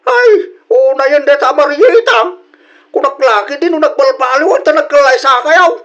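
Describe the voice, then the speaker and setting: A man's voice: very high-pitched, animated, normal speed, fairly bright tone, muffled recording. An actor with an Ilocano accent playing an indignant, incredulous old father-in-law in a radio drama.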